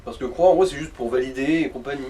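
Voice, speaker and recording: A man's voice: medium pitch, quick pace, dark tone. A man giving casual gaming commentary, explaining things and guiding the player. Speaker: let's play co-commentator. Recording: distant mic, faint noise.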